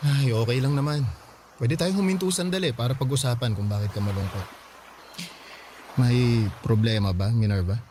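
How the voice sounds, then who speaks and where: A man's voice: mid-range voice, moderate pace, darkish tone, a little hiss or hum, close to an everyday voice. A man playing an earnest, caring young boyfriend in a radio drama, speaking in a tender, reassuring way. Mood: concerned.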